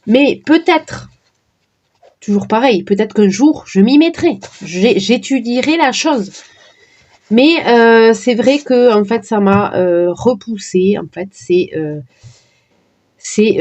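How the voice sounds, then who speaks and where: A woman casually presenting her oracle card decks, talking in a hesitant way with many pauses and fillers. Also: mid-range voice, darkish tone. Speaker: card reader vlogger.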